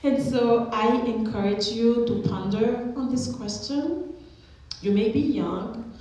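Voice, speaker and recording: A woman's voice: medium pitch, dark tone. Woman in her thirties as chapel speaker, earnest and encouraging, heavy chapel echo. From Haiti. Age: 30s. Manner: earnest, encouraging, asks the listeners questions. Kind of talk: chapel talk to students. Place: school chapel. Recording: echoey chapel.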